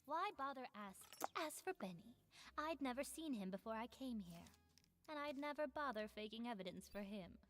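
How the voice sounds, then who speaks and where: A woman's voice: a little high, expressive, moderate pace, a bit bright. An actress playing a defiant, evasive female bar owner in a video game, with a haughty, curt delivery. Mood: defiant, dismissive.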